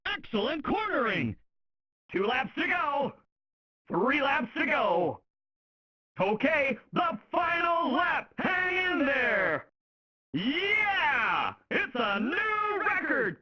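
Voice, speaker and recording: An actor voicing an excited, brash arcade racing game announcer in punchy, exclamatory short phrases, on a muffled game recording. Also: sits high, drawn-out words, dry recording, heavily acted. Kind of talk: video game race announcer voice clips. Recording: muffled, lo-fi game sound rip.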